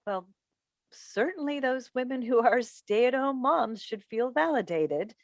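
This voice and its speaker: A woman's voice: fairly high pitch, expressive, measured pace, no room sound. A woman in a staged play reading, playing a seasoned, composed talk show host and mother who tries to placate people. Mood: placating, professional. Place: indoors on a Zoom call. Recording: dry video call recording.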